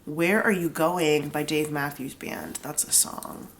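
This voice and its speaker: A woman's voice: deep voice, a little slow, slight background noise. A young woman with a stuffed-up nose from a cold, chatting casually through a tarot reading and explaining each pull with lots of "like". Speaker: tarot reader.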